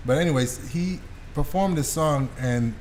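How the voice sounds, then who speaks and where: A man's voice: a little high, a little slow, a little mellow. Informal man hosting, some background noise. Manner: informal, slangy. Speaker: podcast host. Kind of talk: casual chat, interviewing.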